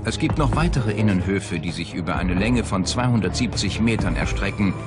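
Male documentary narrator, low register, scripted read over a clear music bed. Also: lively intonation, fairly quick. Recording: loud music behind the voice.

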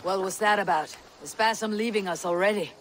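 An actress playing a stoic, loyal young Viking warrior in a video game, measured and terse. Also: female voice, mid-range voice, a little hiss or hum, lightly acted. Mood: questioning, loyal. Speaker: warrior protagonist. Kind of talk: video game cutscene acting.

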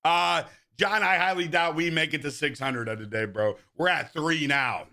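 A man's voice: on the high side, normal speed, creaky, bright, forceful tone. A man in his thirties live-streaming a game, bantering crudely with chat and swearing often. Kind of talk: casual live-stream chat while gaming. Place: indoor room at a gaming chair.

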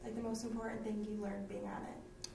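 A woman's voice: flat delivery, a little fast, gravelly, dark tone. A young woman with an American accent, asking casual questions as an interviewer. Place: small meeting room. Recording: distant, roomy, faint rumbling noise.

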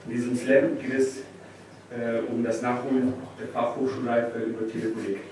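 Young male slam poet, mid-pitched, heard from far off in a very reverberant room. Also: flat delivery, moderate pace, darkish tone. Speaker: poetry slam performer. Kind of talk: live spoken-word poetry slam performance. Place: indoor small venue stage before an audience. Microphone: distant camera microphone. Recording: distant, very echoey, noisy room recording.

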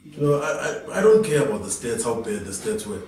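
A man's voice: medium pitch, sing-song, a little fast. Emphatic man, echoey room. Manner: emphatic, forceful opinions. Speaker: panelist. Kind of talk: casual studio sports chat. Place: small studio room, indoors. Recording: echoey room from a distance, faint noise.

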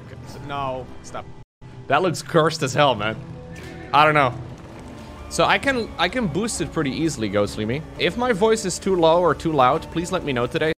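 A man's voice: a little high, big pitch swings, moderate pace, a bit bright, soft background music. A man streaming from home, bantering jokingly with chat while testing voice effects on a desk mic. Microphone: desk mic through an audio interface.

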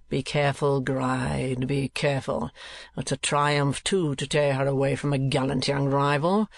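Cold storybook moneylender, read by a man: mid-pitched, sardonic, deliberate, close dry recording. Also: creaky, no room sound. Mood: contemptuous. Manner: dry, contemptuous, deliberate mockery.

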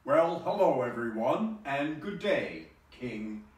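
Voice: medium pitch, big pitch swings, takes it slowly, a little mellow. An actor playing a polite, good-natured pirate captain in a children's live skit. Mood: cheerful, courteous. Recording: echoey room, distant mic, faint noise.

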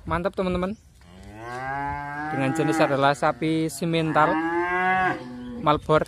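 Male farm-vlog host, fairly high voice, casual running commentary, busy background chatter. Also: fairly even intonation, measured pace. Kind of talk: live commentary with casual questions to farmers. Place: outdoors in a village yard and cattle shed. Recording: outdoor farm, chatter and cattle behind.

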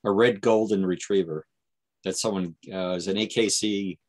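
A man on a video call making relaxed, rambling small talk. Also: deep voice, normal speed. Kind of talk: casual video call chat.

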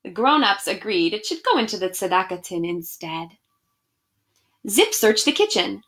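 A woman's voice: mid-range voice, big pitch swings, moderate pace, fairly bright tone. A young adult woman reading a picture book aloud expressively and chatting casually to children from a small room at home. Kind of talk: animated read-aloud and casual address to children.

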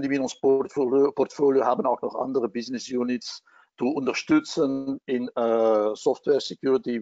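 A man's voice: mid-range voice, darkish tone, no echo, dull audio. Belgian-accented man presenting a webcast. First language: not German. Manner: halting, many filler sounds, non-native grammar. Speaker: company division head presenting a webcast.

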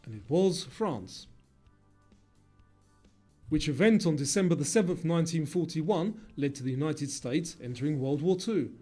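A quiz narrator, a man with a slightly high and very mellow voice. He reads scripted questions in a measured, formal manner over faint music. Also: lively intonation.

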